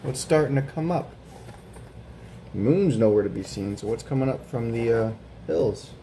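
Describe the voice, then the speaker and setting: A young adult man reading a children's picture book aloud at home, in a gentle storytelling way with chatty asides and questions for the listeners. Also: mid-range voice, lively intonation, normal speed, slight background noise. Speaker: guest reader of a children's book. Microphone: camera mic.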